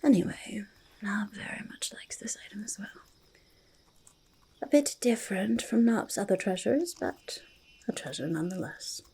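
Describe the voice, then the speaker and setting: A woman voicing a curious, friendly forest goblin in a soft ASMR roleplay, speaking haltingly in breathy whispers and creaky murmurs, repeating words. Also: mid-range voice, animated. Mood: quietly fascinated. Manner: halting, third-person speech with doubled words. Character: curious, eager, friendly forest goblin who hoards treasure.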